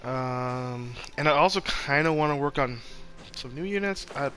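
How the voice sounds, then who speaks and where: A man's voice: mid-range voice, normal speed, bright, forceful tone. A man chatting casually on a gaming stream, rambling along in slangy talk. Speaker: streamer playing a game. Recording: background noise behind voice.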